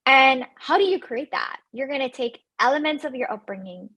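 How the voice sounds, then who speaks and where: Upbeat Jamaican woman, slightly husky voice. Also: sits high, expressive, normal speed, bright, forceful tone. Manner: chatty, upbeat, many fillers and restarts. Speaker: physician giving residency interview advice. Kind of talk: advice talk to camera.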